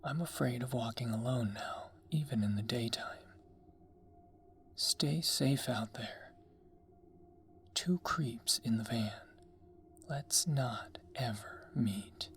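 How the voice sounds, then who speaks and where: A narrator reading a scary story in a hushed ASMR whisper, slow and deliberate. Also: medium pitch, a little hiss or hum. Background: background music.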